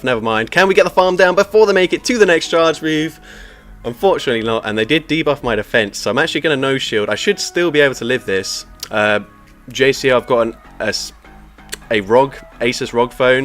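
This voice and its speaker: A man giving energetic shoutcaster-style commentary on a live-streamed game, laughing often as he talks. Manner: energetic shoutcaster delivery, laughs often, lively pitch jumps. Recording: music playing faintly behind.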